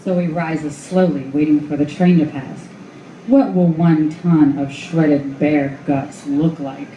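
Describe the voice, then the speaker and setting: Female noir narrator for live radio play, dark tone, roomy sound, slight background noise. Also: sits low, fairly even intonation, slow. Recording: echoey room, noisy ambience.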